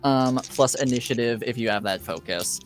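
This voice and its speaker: A man acting as game master in a casual tabletop roleplaying chat, thinking aloud in a halting way. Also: mid-range voice, soft background music.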